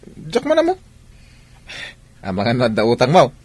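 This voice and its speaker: A man's voice: medium pitch, wildly swooping pitch, unhurried, breathy edge, dry recording, exaggerated voice. A man playing a blunt, bantering godfather in a Filipino radio drama. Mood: bantering, excitable. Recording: radio drama with music underneath.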